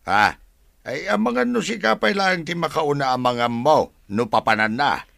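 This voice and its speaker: An actor with a Filipino accent playing a flustered, evasive young son and sweetheart, blustering and pleading in a radio drama. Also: male voice, fairly high pitch, animated, moderate pace, gravelly, a bit bright, dry recording, clean studio sound. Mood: defensive. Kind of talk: Ilocano radio drama acting.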